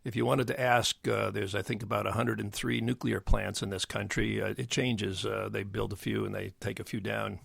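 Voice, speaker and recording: An older man with a bass voice, explaining as an interview guest in a halting way with many fillers and restarts. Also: level tone, fairly bright tone, no echo. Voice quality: gravelly bass voice. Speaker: guest, nuclear engineer. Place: dry podcast studio. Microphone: studio mic.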